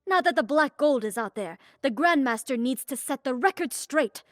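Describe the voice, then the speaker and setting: High-voiced, firm sect woman. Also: lively intonation, normal speed, no room sound, studio quality. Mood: grave concern. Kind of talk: dramatic video game acting.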